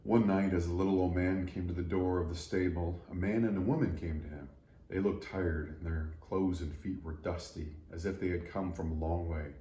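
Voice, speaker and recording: Male children's story reader. Low, dark, relaxed tone, echoey room. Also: normal speed. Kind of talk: read-aloud storytelling for children. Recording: roomy echo.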